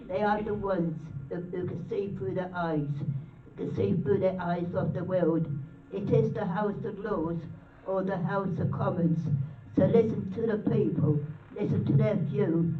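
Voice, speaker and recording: A woman reading her own poem aloud in a halting way, often repeating words and phrases. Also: mid-range voice. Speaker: poet reading own work at a public event. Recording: roomy, distant, muffled, faint music behind.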